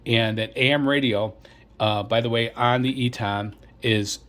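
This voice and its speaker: Man, mid-pitched, casual reviewer presenting gear. Hesitant, rambling, repetitive delivery. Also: steady tone, measured pace, fairly bright tone. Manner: hesitant, rambling, repeats himself. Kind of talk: casual product demonstration.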